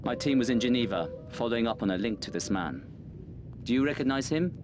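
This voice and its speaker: An actor playing a guarded middle-aged intelligence colonel in a video game, with a formal, measured delivery. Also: male voice, mid-range voice, expressive, over music, lightly acted. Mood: informative, serious. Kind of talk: dramatic video game dialogue.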